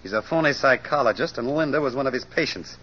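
Actor as forceful sardonic sleuth, noisy old radio. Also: male voice, medium pitch, animated, quick pace, close to an everyday voice. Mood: accusing, sardonic. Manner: clipped, commanding, sardonic. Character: hard-nosed, shrewd amateur sleuth confronting a killer. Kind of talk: 1940s-50s radio detective drama acting. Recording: noisy, muffled vintage radio recording.

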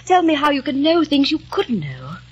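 A woman's voice: high voice, huge pitch swings, normal speed. An actress playing an adoring young woman in an old radio drama, speaking eager and pleading. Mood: entranced. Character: young woman, devoted dreamy sister. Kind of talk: 1940s radio acting.

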